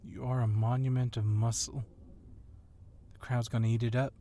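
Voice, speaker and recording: This is a man acting as a fit, nimble cop in an audio roleplay. His mid-pitched voice is soft-edged and mellow, over faint background noise. He comes across as confident, casual and flirtatiously admiring. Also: little pitch movement, normal speed, lightly acted. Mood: admiring, flirty. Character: athletic, agile, admiring yet confident police officer.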